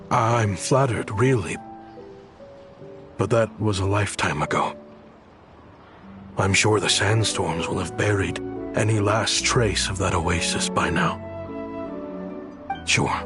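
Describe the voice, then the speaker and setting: An actor voicing a weary adult man who looks after children, speaking in a thoughtful, wry, measured way. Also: low voice. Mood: wistful. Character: weary adult man caring for orphaned kids. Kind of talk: video game dialogue.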